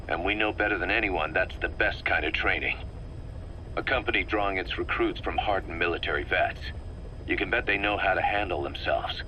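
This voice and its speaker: A tough mercenary commander in a war game, briefing his troops with brisk, blunt directness. His voice sits low and sounds bright and forceful. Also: slight background noise, low-quality recording. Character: gruff male mercenary commander giving a briefing. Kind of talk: video game voice acting.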